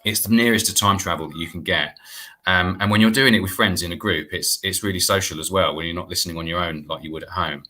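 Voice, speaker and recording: A British man with a very low, forward, bright voice. He talks in an earnest, conversational way, explaining as he goes, as a guest on a video call. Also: quick pace. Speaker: guest, theatre producer. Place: indoor room, video call.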